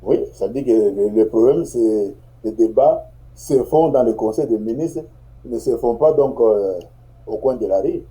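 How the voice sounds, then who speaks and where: Very dark-toned man explaining. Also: mid-range voice, a little slow, rough recording. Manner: explanatory, repeats phrases, checks in with "tu comprends?". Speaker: guest commentator. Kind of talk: political talk show discussion.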